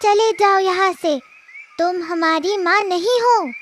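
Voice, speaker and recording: Squeaky little cartoon goat kid. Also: female voice, takes it slowly, slight background noise. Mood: wary, defiant. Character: cautious, childlike little goat kid, small and alert. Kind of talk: children's cartoon story acting.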